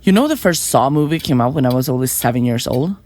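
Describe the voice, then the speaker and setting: A woman playing a flippant, self-important young murderer in an audio drama, glib and quick to get defensive. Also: extremely low pitch, animated, a little mellow. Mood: smug enthusiasm. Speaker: murderous podcaster in a therapy session. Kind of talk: audio drama acting, conversational.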